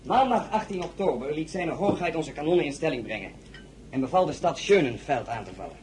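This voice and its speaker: An actor playing a proud, loyal count serving as a royal military aide, formal and earnest, in an audio drama. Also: male voice, fairly high pitch, lively intonation, a little fast, darkish tone, slight background noise, lightly acted. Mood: solemn, reporting. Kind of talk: Dutch audio drama acting.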